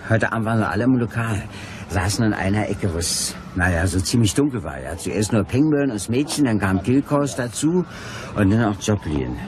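A colloquial, wheedling older male informant as an actor plays him in a detective radio play. His delivery is boastful yet halting, with very lively swings in pitch. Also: background noise, lightly acted. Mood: defensive. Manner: hesitant, chatty, colloquial. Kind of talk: German crime radio play acting.

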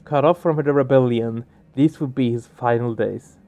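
A man's voice: medium pitch, normal speed, dark tone. A man hosting a let's play, reading game narration aloud and adding casual commentary. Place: small home room, indoors. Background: game music.

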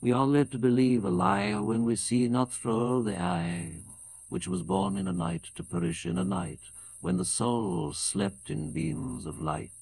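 Older British male reader, very creaky voice, lively rise and fall, for solemn, declamatory verse recitation. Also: mid-range voice. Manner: measured, declamatory. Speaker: poetry reader. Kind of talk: solemn dramatic poetry recitation.

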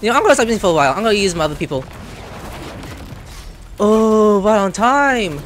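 A man giving casual gameplay commentary as a video host, excitable, reactive and prone to swearing. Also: high-pitched, a little fast, a little hiss or hum. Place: small room indoors at a computer. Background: faint game music.

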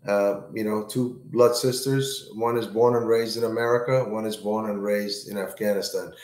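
Author guest, male, 60s, casual and rambling, lowish voice, roomy video-call audio. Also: steady tone, moderate pace, darkish tone. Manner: easygoing, many fillers and repeated words. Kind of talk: casual interview chat. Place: home room with plant, indoors.

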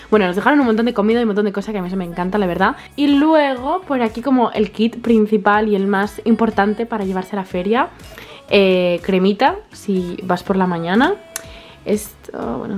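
Chatty young woman vlogging from a hotel. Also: mid-range voice. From Spain. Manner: chatty and enthusiastic, full of fillers and little diminutives. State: stuffy from allergies. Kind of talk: casual vlog chat to camera. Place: hotel room and outdoors in a city. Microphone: handheld camera at arm's length.

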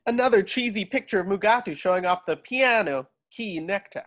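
High-pitched man reading stage directions. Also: a little fast, a bit bright. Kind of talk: reading screenplay directions at a table read. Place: home, remote video call.

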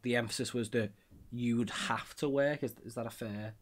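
A middle-aged man hosting an interview show, his voice sitting at an ordinary, middle pitch. He talks loosely and jokes at his own expense, filling gaps with "like" and "you know". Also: steady tone, moderate pace. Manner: chatty, self-deprecating, lots of "like, you know". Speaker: podcast host. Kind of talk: casual interview talk.

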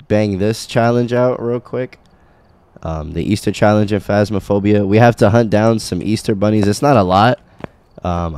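A young man with a very low voice runs a relaxed livestream from home, greeting his chat by name between plenty of ums. He is sick, and his voice sounds a little scratchy. The sound is clear and smooth, recorded dry on a close boom mic. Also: no room sound. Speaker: streamer. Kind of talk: casual game livestream chat. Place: small room at home. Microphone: boom mic on arm, headphones.

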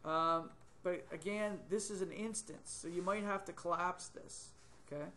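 A middle-aged man with a fairly high voice walks through a 3D modeling task on screen. He thinks aloud as he goes, filling pauses with ums and correcting himself on the fly. He is recorded on a desktop computer mic in a small room. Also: steady tone, sharp tone, slight background noise. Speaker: software tutor. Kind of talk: screen-recorded software tutorial. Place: small indoor room, webcam in corner of screen.

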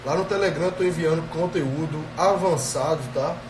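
A man's voice: on the high side, moderate pace, recorded from a distance. Brazilian guy with a cold doing a phone live, earphone mic, roomy echo, noise behind. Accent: Brazilian Portuguese. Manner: energetic, addresses viewers directly, frequent "tá?" checks. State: has a cold. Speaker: productivity coach hosting a live stream. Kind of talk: live stream talk to viewers. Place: small indoor room. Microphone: earphone headset mic on a phone.

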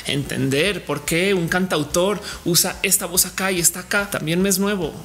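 A bright, forceful-sounding woman in her thirties talks straight to the camera, expressive and chatty with playful asides. Her voice comes through a camera mic in a home room, with a faint hiss behind her. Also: lowish voice, normal speed. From Colombia. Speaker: vlogger. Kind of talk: candid personal vlog monologue. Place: indoor home studio room with shelves.

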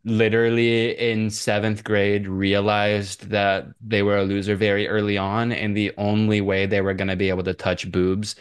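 A man's voice: on the low side, flat delivery, moderate pace, hardly any pauses, clear, resonant tone, no echo. A young American man in casual comedic podcast chat, rambling and irreverent, swearing freely into a close mic. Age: young adult. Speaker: podcast co-host. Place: small room indoors. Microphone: close podcast mic on boom arm.

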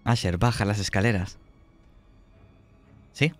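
Low-pitched Spanish man narrating horror. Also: soft background music. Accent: Spain. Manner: slow, suspenseful second-person narration in short phrases. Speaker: game master. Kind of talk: narrating a horror tabletop role-playing game.

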